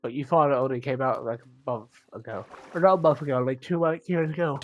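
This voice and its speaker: Croaky man chatting over gameplay. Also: mid-range voice, a little fast, dull audio, soft background music. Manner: chatty, rambling, self-mocking asides. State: croaky, sniffly from a cold. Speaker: gaming channel host. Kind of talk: casual gameplay commentary.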